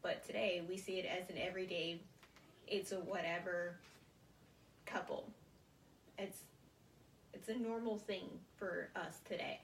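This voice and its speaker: A young American woman giving a prepared informative speech as a student, speaking haltingly in short phrases, recorded at a distance in a room. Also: lowish voice, steady tone. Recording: roomy, distant mic, faint noise.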